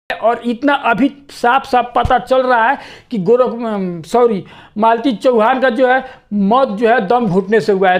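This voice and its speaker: A man giving informal, opinionated news commentary to viewers in a repetitive, insistent way. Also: very high-pitched.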